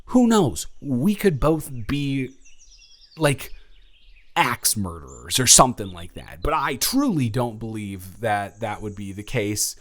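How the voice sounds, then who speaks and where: A man's voice: medium pitch, big pitch swings, takes it slowly. A young man playing an awkward, smitten, introverted college dormmate in a romantic audio roleplay, rambling and stammering in a flustered way. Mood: flustered, affectionate. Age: young adult. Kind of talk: intimate romantic audio roleplay, conversational acting.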